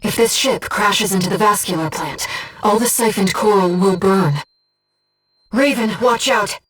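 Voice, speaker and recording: A soft, breathy, distant female AI-like companion. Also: mid-range voice, a little slow. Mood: alert, urgent. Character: gentle, earnest disembodied female voice guiding a pilot. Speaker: mission support companion. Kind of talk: video game voice acting, tactical callouts and briefings.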